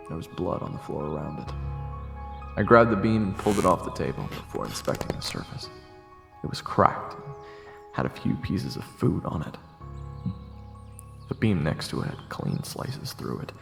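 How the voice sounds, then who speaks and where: A man's voice: deep voice, vocal fry, fairly bright tone. A man reading scripted first-person story narration for a fantasy audio drama. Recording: echoey, long reverb, faint music behind. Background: music.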